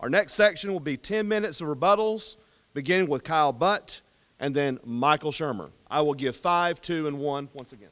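A middle-aged man moderating a debate, loud and formal, at a podium mic in a large auditorium. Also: a little high, moderate pace. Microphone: podium mic on a stand. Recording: long hall reverb.

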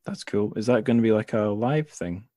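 A man with a fairly low voice hosts an interview, chatting casually as he puts questions to his guest. The sound is very dry, with no room echo. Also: moderate pace, mellow. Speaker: podcast host, interviewer. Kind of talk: casual conversational interview hosting.

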